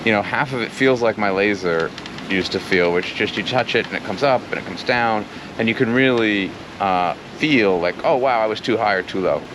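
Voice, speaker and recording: A man's voice: on the low side, normal speed. A man casually explaining things to the camera on a sailboat at sea, chatty and enthusiastic, with fillers. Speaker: solo sailor and channel host. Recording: wind and water noise on a sailboat.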